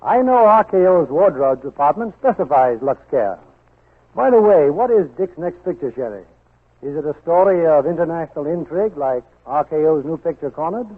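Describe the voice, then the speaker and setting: A man's voice: fairly high pitch, lively intonation, normal speed, slightly rough. An American man hosting a 1940s radio show, announcing from a script in a polished, theatrical way. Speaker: host and announcer. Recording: muffled 1940s radio broadcast with music.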